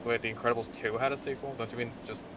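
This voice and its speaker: A man's voice: medium pitch, little pitch movement, very fast, a bit bright, slight background noise. A man in casual banter as a guest, with a dry, teasing way of talking. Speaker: guest co-commentator.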